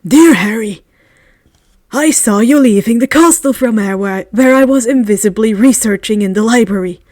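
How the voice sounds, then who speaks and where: A woman's voice: on the high side, moderate pace, a little mellow, dry recording, studio quality, lightly acted. A woman voicing a kindly, whimsical elderly male wizard, genteel and wordy, as if reading out his letter in a storybook. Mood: genial, conspiratorial.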